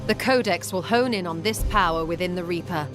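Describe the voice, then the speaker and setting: An actress playing a proud, confident inquisitor of commanding rank in a video game, haughty in manner, over music. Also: female voice, lowish voice, a little fast, close to an everyday voice. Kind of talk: dramatic video game acting. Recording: music behind the voice.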